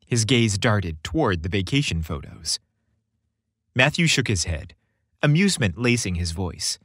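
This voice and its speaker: A man's voice: on the low side, big pitch swings, unhurried, fairly bright tone, breathy edge, no echo. A man hosting a story podcast, reading scripted narration with a playful, theatrical delivery, close on the microphone.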